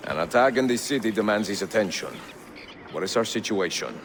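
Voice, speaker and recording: An actor with an Italian accent playing a dignified, calm and resolute middle-aged master assassin in a video game. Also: male voice, on the low side, a little fast. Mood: commanding. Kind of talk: video game dramatic acting. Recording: game audio with clear background noise.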